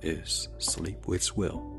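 A man hosting a guided sleep meditation, speaking softly and gently in a near-whisper with long pauses. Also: over music. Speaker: meditation podcast host.

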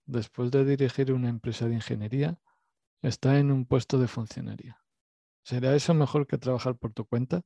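A man's voice: medium pitch, steady tone, vocal fry, warm tone, no echo. Self-deprecating Basque Spanish man host. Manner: self-deprecating, rambling with pauses. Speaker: podcast host. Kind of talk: casual interview podcast.

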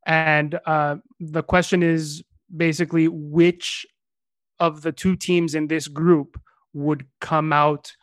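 A man with a slightly higher voice co-hosts a casual football podcast. He talks in halting bursts, packed with filler sounds. His voice is recorded close and dry, with no room sound. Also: flat delivery, a bit bright, no room sound. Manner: hesitant, many filler uhs. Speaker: podcast co-host.

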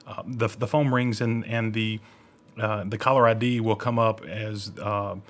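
A man's voice: lowish voice, little pitch movement, a little slow, fairly bright tone. A man co-hosting a religious radio discussion, speaking in a reflective and somewhat hesitant way. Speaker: radio co-host.

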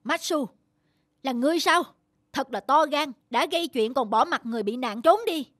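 A woman playing a stern, indignant young village woman, blunt and admonishing, in an animated folk tale. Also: high-pitched, moderate pace, dry recording, clean studio sound. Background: music.

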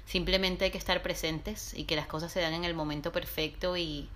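A woman with a Colombian Caribbean coast accent and a lowish voice, telling her own story on a podcast. She speaks in a reflective, earnest way and often pauses with filler sounds. A faint noise sits under her voice. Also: steady tone, a bit bright. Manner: reflective and earnest, with frequent hesitations. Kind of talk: casual podcast chat.

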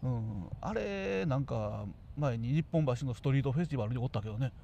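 A man's voice: mid-range voice, animated, fast talker, gravelly, warm tone. A man with a Kansai Japanese accent co-hosting a radio talk in offhand, familiar dialect with casual comic banter. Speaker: radio talk show co-host. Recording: dull lo-fi recording with faint noise.

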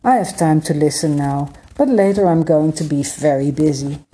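A woman voicing a patient, kindly male sky god who calmly explains things in a storybook reading. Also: low voice, lightly acted. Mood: patient, explaining. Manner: calm, unhurried, gently explaining. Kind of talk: storybook read-aloud.

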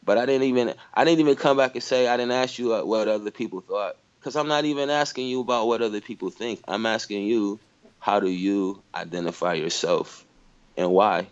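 A man's voice: lowish voice, fairly quick, clean tone, muffled recording. A man from Michigan in a casual conversation, thinking aloud with lots of "you know" and "like". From Michigan, USA. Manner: reflective, many fillers like "you know".

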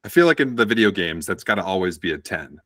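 Low-voiced man reciting stats. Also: big pitch swings, fast, a bit bright, no echo, studio quality. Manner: reels off numbers, matter-of-fact. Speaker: stats-minded co-host. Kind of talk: casual sports chat.

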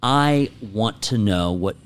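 A man's voice: fairly low pitch, expressive, slow, slight background noise. Emphatic male talk show host. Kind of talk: opinionated talk radio conversation.

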